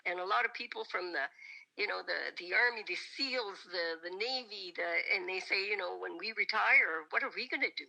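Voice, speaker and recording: Lady, 60s, sick with a cold, raspy gravelly voice, super bright tone, chatty. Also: mid-range voice, brisk, low-quality recording. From Atlantic Canada. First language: French. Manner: chatty, laughs often, expressive. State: hoarse from a bad cold. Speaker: singer guest. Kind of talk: casual interview chat.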